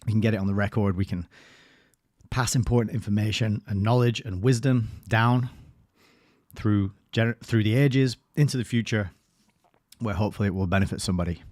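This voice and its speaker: A man hosts his own live stream, talking loosely and conversationally and dropping wry asides along the way. His voice sits fairly low, and the close desk microphone gives a dry sound with no room echo. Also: fairly quick, a little mellow. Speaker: host of a live-streamed commentary show. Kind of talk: solo live-stream commentary.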